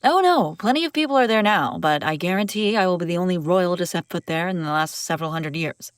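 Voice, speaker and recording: Girl doing a sardonic runaway princess in a fantasy audio drama, lowish voice, really dry close sound, bantering and exasperated. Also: animated, a little fast, no echo, close to an everyday voice. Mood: conspiratorial, explaining. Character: haughty, wry young fantasy princess on the run. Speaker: audio roleplay performer. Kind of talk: acted audio roleplay, one-sided dialogue.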